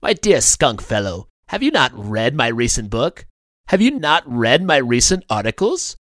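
A man plays a pompous turkey scholar from a storybook. The delivery is fancy and condescending, with lively swoops in pitch. The sound is close and dry. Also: normal speed, no echo. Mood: pompous, scolding. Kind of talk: children's story character voice.

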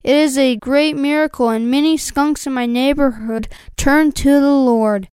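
Squeaky, earnest little cartoon skunk. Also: male voice, fairly even intonation, measured pace, darkish tone, no echo, clean studio sound. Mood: bold, earnest. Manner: simple, polite, eager. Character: cheerful, earnest young male skunk, humble and bold. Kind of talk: children's story character voice.

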